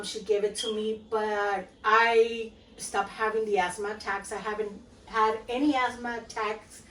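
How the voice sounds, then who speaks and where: Woman, casual cooking vlog, lots of ums and okays, roomy kitchen, faint background hum. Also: medium pitch. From Guatemala. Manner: chatty, rambling, many fillers and "okay?" check-ins. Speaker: home cooking vlogger. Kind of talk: casual home cooking demonstration. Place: home kitchen. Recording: roomy, mic at a distance, faint room noise.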